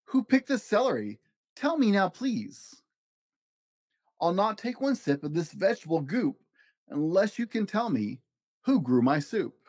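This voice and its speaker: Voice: mid-range voice, very animated, a little slow, long pauses, a little mellow, dry recording. A reader voicing a curious, picky young boy in a children's picture book story. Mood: stubborn.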